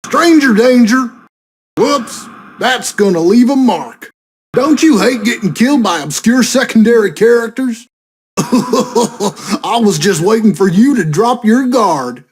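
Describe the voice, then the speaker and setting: A man's voice: extremely high pitch, expressive, slow, husky, full character voice. A man playing a goofy, taunting animatronic sidekick in a video game. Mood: gleefully mocking. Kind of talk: video game character voice lines.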